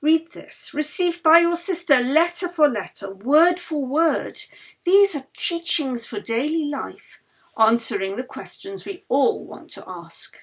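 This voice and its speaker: A woman's voice: high-pitched, expressive, a little slow, pitched above the performer's own voice. A woman voicing a fervent, grandiose male baron who believes in spirits, in an audiobook. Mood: fervent, pleading. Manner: impassioned, grandiose, persuasive. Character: earnest, fervent Swedish baron devoted to spiritualism. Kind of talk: audiobook character dialogue.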